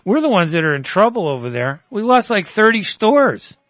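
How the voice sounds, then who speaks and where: An older man hosting a radio talk show, opinionated and quick to jump in with jokes. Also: high voice, sing-song, a bit bright, no echo. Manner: opinionated, joking, quick to interject. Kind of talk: casual radio chat.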